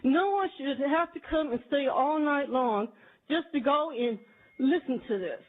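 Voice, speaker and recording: A woman's voice: high-pitched, expressive, unhurried. A woman in her forties from Houston with a Texan accent, testifying with indignation at a committee hearing. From Houston, Texas. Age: 40s. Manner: impassioned, indignant, repetitive. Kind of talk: public testimony. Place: legislative committee hearing room. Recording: tinny, phone-like archive audio.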